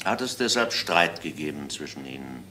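A male police inspector from a crime drama, with a deep voice and lively inflection. He is courteous but probing and businesslike, recorded in an echoey room with noticeable noise. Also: normal speed, full character voice. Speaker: actor in a crime radio drama. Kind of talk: German crime drama acting. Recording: echoey room, clearly noisy old soundtrack.

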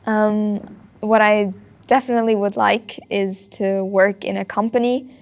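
A teenage girl from Switzerland talks earnestly about dancing, speaking in a narrow, even pitch. She pauses often with small fillers as she thinks. She sits for an interview in a bright ballet studio. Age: teens. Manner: thoughtful, earnest, with frequent ums. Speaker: ballet student. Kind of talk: documentary interview.

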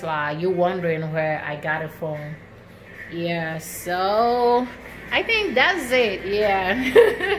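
A woman's voice: medium pitch, slight background noise, rough recording. A woman vlogger showing her nearly empty apartment on a handheld phone, talking casually and laughing between lines. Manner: slow, relaxed, laughs often between lines. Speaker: vlogger, service member. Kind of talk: casual home tour vlog. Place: small empty apartment, indoors. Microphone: handheld phone camera, speaker off-screen.

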